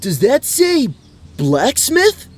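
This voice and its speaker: A man's voice: very high voice, huge pitch swings, very slow, a little hiss or hum, exaggerated voice. A man playing a cocky but clueless adventurer, boastful and earnest like a bro, in a fantasy audio drama. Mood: indignant, earnest. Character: cocky, clueless young male adventurer and sword fighter.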